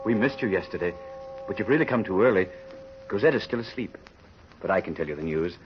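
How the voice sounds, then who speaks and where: Earnest young bridegroom, swooping pitch. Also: male voice, expressive, fast talker. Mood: glad, eager. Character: earnest, troubled young bridegroom, polite son-in-law. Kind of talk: 1930s radio drama acting. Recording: muffled, noisy old radio recording.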